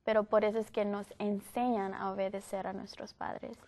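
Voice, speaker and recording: A young woman from Guatemala speaking as a panelist, explaining her view calmly and reasonably. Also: medium pitch, moderate pace. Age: young adult. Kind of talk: panel discussion.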